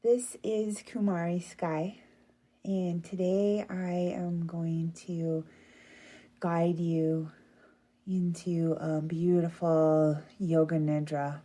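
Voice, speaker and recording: Low, slow, mellow woman guiding meditation. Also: flat delivery. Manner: soothing, gentle, unhurried. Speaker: yoga nidra meditation guide. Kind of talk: guided relaxation meditation.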